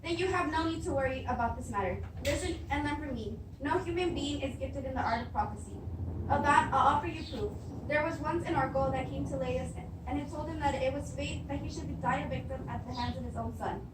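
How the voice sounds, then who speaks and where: A woman's voice: high voice, a little fast, lightly acted. A young woman acting an anxious, reassuring queen and wife in a readers theatre Greek tragedy. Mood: confident, reassuring. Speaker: student actor. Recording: distant echoey room with clear background noise.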